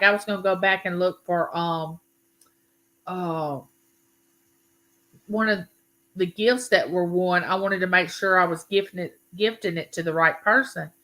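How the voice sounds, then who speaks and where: Bright-voiced Southern woman in her fifties. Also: fairly low pitch. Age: 50s. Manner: chatty, folksy, drawn-out phrases. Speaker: YouTube livestream host. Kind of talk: casual live chat with viewers.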